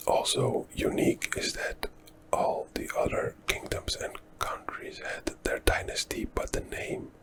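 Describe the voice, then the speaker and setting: A Dutch man, a native Dutch speaker, whispering softly through a relaxed ASMR history walkthrough and thinking aloud as he goes. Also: extremely high pitch. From Netherlands. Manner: soft unvoiced whisper, unhurried, thinking aloud with fillers. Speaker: ASMR history channel host.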